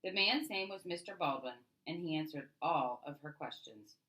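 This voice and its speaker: A woman reading a children's story aloud as an expressive narrator. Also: low voice, normal speed, rough recording. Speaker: storybook reader.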